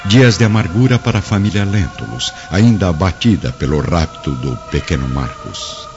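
Deep, dramatic male radio narrator. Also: animated, moderate pace. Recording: muffled radio drama under loud music.

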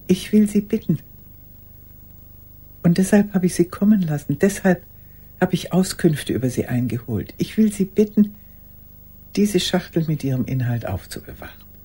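An older actress playing a lonely, pleading old woman in a radio play monologue, halting and intense, with a husky voice. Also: on the low side, lively intonation, warm tone. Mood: pleading, earnest.

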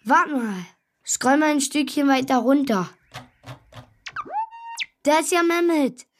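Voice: sits high, lively intonation, takes it slowly, noisy background, exaggerated voice. A young performer playing a bewildered, polite and curious schoolboy in a children's radio play, hesitant and natural.

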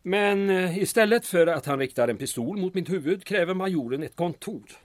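An actor playing a guarded small-town mayor in an audio drama, formal and precise, with slight hesitations and a pedantic care for detail. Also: male voice, high-pitched, animated, dry recording. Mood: dry, recounting.

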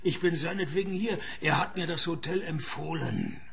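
An actor playing a wisecracking, hard-up private detective in a radio play, with dry deadpan delivery. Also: male voice, on the high side, normal speed, creaky, husky, heavily acted. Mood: sardonic, dry. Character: wisecracking, cash-strapped male private detective. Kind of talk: radio play dialogue and first-person noir narration.

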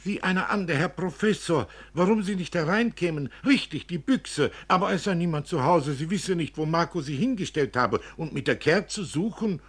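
A man's voice: fairly high pitch, animated, normal speed, hardly any pauses, husky, pitched above the performer's own voice. A man voicing a coarse, garrulous, complaining village woman in a radio story. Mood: chatty, familiar. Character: waddling, wheezy, coarse, chatty Italian village woman.

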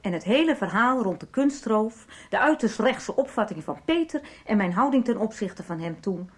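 A woman's voice: mid-range voice, animated, moderate pace, lightly acted. An actress in a 1990s radio soap playing a menacing, scornful woman who taunts and commands as she presses a man for information. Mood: taunting.

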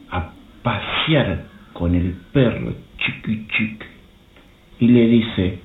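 A woman playing a rambling, eager old Argentine pensioner in improvised radio theatre, halting and repetitive. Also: very deep voice, exaggerated voice. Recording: tinny, echoey, noisy phone-like sound.

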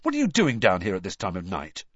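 A man voicing a tense, defensive teenage boy wizard in a raised, sharp voice. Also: wildly swooping pitch, fast, no room sound, heavily acted. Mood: angry. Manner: high, sharp and heated. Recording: dull, dry recording.

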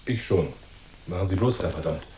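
A man's voice: low-pitched, brisk, warm tone, slight background noise, close to an everyday voice. Man acting a menacing, sneering crooked cop, echoey room. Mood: angry, scornful. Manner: sneering, threatening, gruff-spoken. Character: menacing, hot-tempered, bullying crooked male cop. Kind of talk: noir radio drama acting.

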